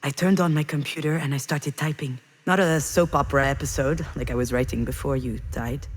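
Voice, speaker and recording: An actress playing a grieving, reflective screenwriter who confides her story in measured, intimate first-person narration for a video game. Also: sits low, fairly even intonation. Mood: quietly resolved. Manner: measured, introspective, pausing between phrases. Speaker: narrator of a visual novel.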